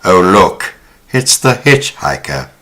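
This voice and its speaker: A man's voice: low voice, very animated, slow, fairly bright tone. A man hosting a horror film podcast, speaking in a wry, sardonic way. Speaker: podcast host. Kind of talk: horror film recap and casual discussion.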